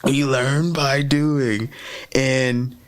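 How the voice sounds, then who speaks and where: A man's voice: medium pitch, level tone, extremely slow, clean tone. A man whose first language is French gives a motivational teaching talk as a podcast host, chatty and repeating key phrases with frequent "right?" checks.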